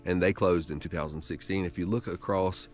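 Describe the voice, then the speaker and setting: A low-voiced man in his thirties rattles off a quick, rambling vlog while out on a cold winter trail. He talks loosely and bluntly into a handheld camera, dropping "like" all the time. Music plays underneath him. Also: steady tone. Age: 30s. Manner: rambling, loose, many "like" fillers, blunt and profane. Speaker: hiking vlogger. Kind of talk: casual vlog talk to camera. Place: outdoors on a cold winter lake trail and in a parked truck. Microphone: handheld action camera.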